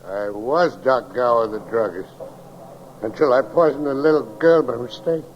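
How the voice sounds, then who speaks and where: An actor playing a broken, drunken old derelict in 1940s radio drama style, rambling and slurred. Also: male voice, medium pitch, normal speed, close to an everyday voice. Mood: drunken, forlorn. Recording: muffled, very noisy old radio recording.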